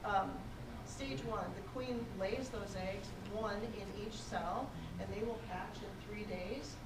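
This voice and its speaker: A woman presenting a beginner beekeeping class to a room, loud-voiced and talking in a halting way with fillers and restarts. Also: medium pitch, steady tone, very noisy. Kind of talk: classroom lecture with slides. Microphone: far room recording, no mic for a while. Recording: echoey room from a distance.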